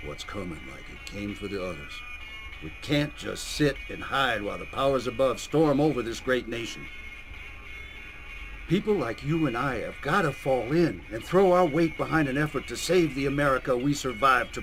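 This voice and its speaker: A man playing a gruff, patriotic, hot-tempered coach who speaks like a recruiter in a video game. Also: medium pitch, lightly acted. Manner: rousing, commanding, profane.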